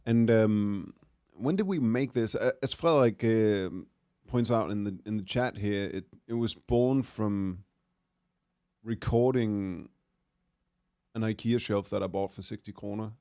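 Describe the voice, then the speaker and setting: A man, hoarse after a cold, chatting casually to a livestream from his studio and thinking aloud with many pauses and fillers. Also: fairly low pitch, dry recording. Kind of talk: casual chat to a livestream audience. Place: music studio. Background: his own track playing quietly underneath.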